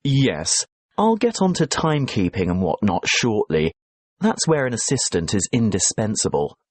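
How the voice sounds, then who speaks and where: A man playing a professor who briskly explains a task to his students in a scripted exam dialogue. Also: lowish voice, sing-song, slightly rough, no echo, voice pushed down. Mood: explaining. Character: male professor guiding students, calm and brisk.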